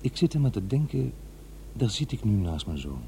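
A man's voice: lowish voice, sing-song, moderate pace, vocal fry, mellow, a little hiss or hum. An actor playing a middle-aged divorced father who muses wryly over his memories in a radio drama. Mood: musing, wry. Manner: musing, hesitant, talking to himself. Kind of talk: radio drama monologue, conversational and introspective.

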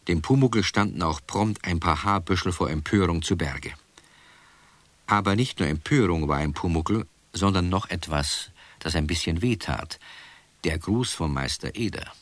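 A man narrating a scripted children's radio play as a calm storyteller. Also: sits low, no echo, exaggerated voice.

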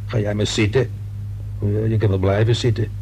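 A nervous, rambling working-class man in a radio drama played by an actor. His voice is creaky and mellow, hesitant and colloquial, with a faint hiss on the recording. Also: lowish voice, flat delivery, normal speed, natural voice, low-quality recording. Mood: gossipy. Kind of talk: Dutch radio play acting.

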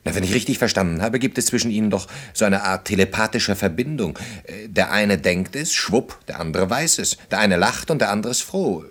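Low-voiced, wry sceptic in his thirties. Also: animated, brisk, few pauses, fairly bright tone. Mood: wry, teasing. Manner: dry, ironic, musing. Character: wry, sceptical man around thirty, gently teasing, disillusioned. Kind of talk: German radio play dialogue.

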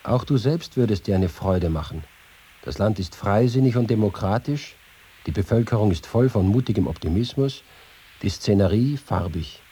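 Aging reporter-writer: very husky, animated intonation, letter reading for radio feature. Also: male voice, lowish voice, normal speed. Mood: hopeful, inviting. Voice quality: very husky, raspy. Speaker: actor reading quoted letters.

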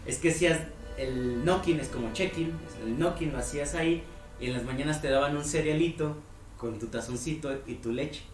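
A man's voice: fairly high pitch, moderate pace, echoey room, faint music behind. A man with a Mexican Spanish accent, chatting casually on a podcast and explaining things step by step. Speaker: podcast host. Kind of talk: casual chat.

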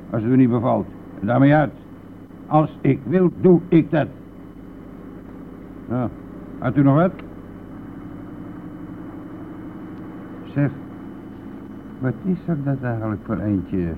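An actor playing a cheeky, nosy, grumbling village bus driver in a radio play, with a teasing, blustering manner. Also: male voice, mid-range voice, lively intonation, measured pace, creaky, long pauses. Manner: teasing, blustering, chuckling at own jokes. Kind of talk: Dutch radio play acting. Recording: tinny narrow-band old radio recording, vehicle noise.

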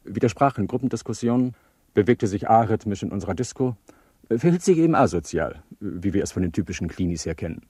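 A man's voice: fairly low pitch, expressive, fast talker, warm tone, breathy edge, dry recording, clean studio sound. An actor playing a pedantic, disapproving male vocational teacher in a satirical radio play, precise and didactic. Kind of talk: satirical radio drama acting as an interviewee.

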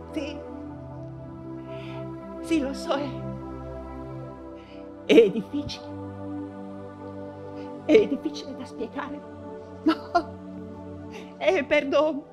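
Tormented feverish woman writer, high voice, really slow halting delivery, stage monologue for radio. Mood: lost, sorrowful. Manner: halting, repeats words and phrases, fraught. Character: anguished persecuted woman writer, feverish mother in wartime deportation. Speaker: stage actress. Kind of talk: theatrical radio monologue, intense stage acting.

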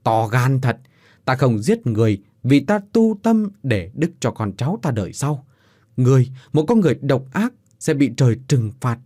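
A man voicing an ancient serpent spirit who speaks slowly and gravely. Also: mid-range voice, sing-song, dark tone, dry recording, clean studio sound. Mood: solemn. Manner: slow, solemn, grave.

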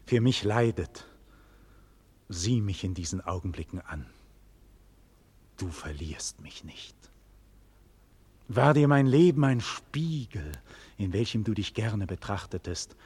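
An actor playing a dignified condemned nobleman in a 1940s German radio play, declaiming solemnly with breathy, husky murmurs and loud cries. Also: deep voice, heavily acted. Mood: solemn, consoling. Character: doomed nobleman facing execution, dignified, passionate, grave. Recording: vintage 1940s radio studio recording.

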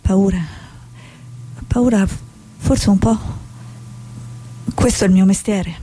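An actress playing an earnest, idealistic female war reporter, speaking in a measured, reflective, theatrical way. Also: mid-range voice, warm tone, slightly rough, low-quality recording. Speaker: stage actor. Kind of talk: stage drama, dialogue and reflective monologue.

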